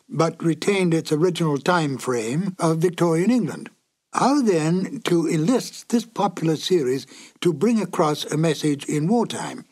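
A man hosting and introducing a reissued old radio drama, in measured, explanatory scripted narration. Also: a little high, darkish tone, husky.

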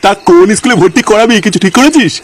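A man voicing a kindly, excitable old grandfather in a comedy cartoon, mild and coaxing. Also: high-pitched, rapid-fire. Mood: coaxing, affectionate.

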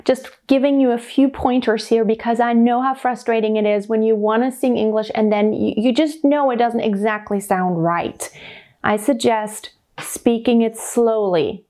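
A woman in her thirties with a light German accent, teaching a singing lesson to camera from a room with a piano and demonstrating sounds with lively gestures. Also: fairly high pitch, moderate pace. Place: indoor home room with piano.

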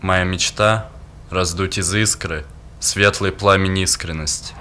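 A man's voice: low-pitched, steady tone, unhurried, noisy background. A nineteen-year-old man from Crimea, speaking formally and a little poetically.

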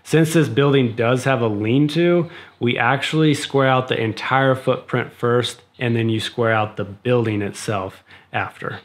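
A man's voice: mid-range voice, a little slow, a little hiss or hum. Casual American man explaining his build. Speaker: DIY builder and vlogger. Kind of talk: casual explanatory vlog talk. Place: small home room while explaining at a desk, outdoors on a building site elsewhere.

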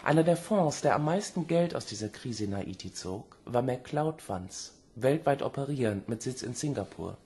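Male actor as shrewd detective, medium pitch, dry delivery, crime audio drama, faint background noise. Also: expressive, moderate pace, few pauses, a little mellow, close to an everyday voice. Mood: matter-of-fact. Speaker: police investigator. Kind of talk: crime radio drama acting.

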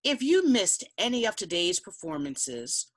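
A woman's voice: medium pitch, big pitch swings, measured pace. A woman speaking as a festival host, presenting and commenting on performances.

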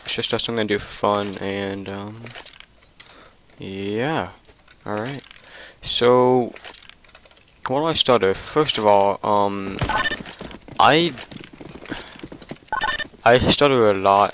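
A man's voice: on the low side, a bit bright, slight background noise. A young man hosting a casual gameplay commentary, tired, stuttering often, with many fillers and restarts. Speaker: gaming channel host.

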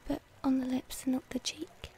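A woman playing a shy, devoted young werewolf girlfriend in an intimate ASMR roleplay, speaking hesitantly and tenderly in a breathy voice that often drops toward a whisper. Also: sits high, level tone, dark tone, heavily acted. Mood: shy, yearning. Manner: hesitant, tender, halting delivery.

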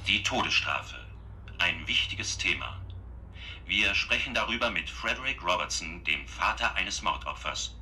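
Very deep, grave television presenter. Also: male voice, expressive, unhurried, music in the background. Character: grave male television presenter introducing an interview. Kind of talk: formal TV presenting in radio drama.

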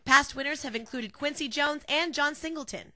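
A woman's voice: high voice, normal speed, bright, forceful tone, a little hiss or hum. A young American woman reporting scripted TV news in a formal, enunciated newscaster delivery. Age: young adult.

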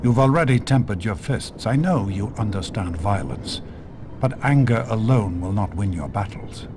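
An actor playing a stern mentor of a secret warrior order, formal, measured and didactic. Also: male voice, on the low side, animated, noisy background, close to an everyday voice. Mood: stern, instructing. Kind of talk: scripted game dialogue.

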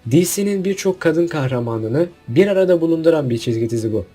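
A man's voice: a little high, animated, brisk, warm tone, soft background music. A man hosting a casual list video, giving opinionated commentary with jokey asides and rhetorical questions. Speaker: video host.

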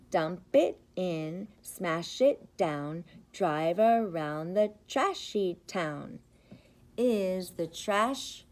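A middle-aged woman, a preschool teacher, reads a picture book aloud to young children with expressive, rhythmic, sing-song phrasing. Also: medium pitch. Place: indoors, school classroom. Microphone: device camera at about arm's length.

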